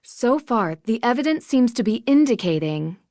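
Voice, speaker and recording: Young girl detective for a video game, calm n probing, measured, dry close sound. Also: on the high side, no room sound. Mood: focused, reasoning. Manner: clear, measured, earnest questioning.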